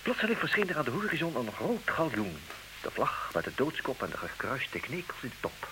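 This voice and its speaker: A man acting in a comedic radio play as a formal, businesslike suitor, stubborn and dryly exasperated, speaking with measured, theatrical emphasis. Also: medium pitch, huge pitch swings. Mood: sleepy, dryly storytelling. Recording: noisy, hissy old radio recording.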